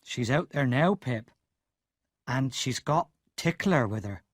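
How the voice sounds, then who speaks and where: A man voicing a huge, simple, gentle blacksmith who talks slowly and softly. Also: medium pitch, lively intonation, no echo, clean studio sound.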